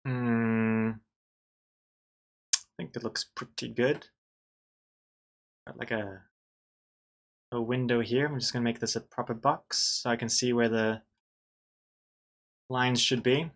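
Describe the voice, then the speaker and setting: A man's voice: medium pitch, fairly even intonation, takes it slowly, long pauses, a bit bright. A man in his thirties, a livestreaming artist talking casually to chat while he draws, chatty and self-mocking. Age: 30s. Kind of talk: casual commentary while drawing on stream.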